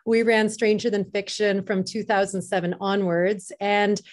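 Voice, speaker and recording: A woman's voice: medium pitch, level tone, unhurried. Fluent, emphatic woman with a mid-range voice. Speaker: film festival director being interviewed. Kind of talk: conversational storytelling in an interview.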